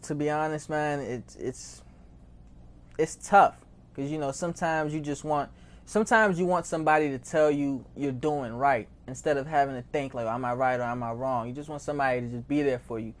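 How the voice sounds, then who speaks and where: A man's voice: mid-range voice, brisk. Slangy American man of nineteen. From born in Haiti, raised in Maryland from age seven. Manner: informal, slangy, repeats phrases. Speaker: interview guest, record label founder. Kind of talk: casual conversational interview.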